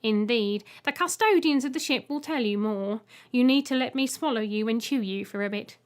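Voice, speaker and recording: A woman's voice: on the high side, moderate pace, lightly acted. A woman voicing a polite, blunt robot egg in an audiobook, speaking in a formal, literal way. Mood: matter-of-fact. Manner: formal, literal, matter-of-fact. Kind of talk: audiobook character voice.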